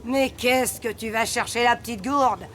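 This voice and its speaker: A woman's voice: fairly high pitch, measured pace, a bit bright, slight background noise. A woman voicing a mean, middle-aged café owner in a French cartoon, scolding and sneering with sarcasm. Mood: dismissive.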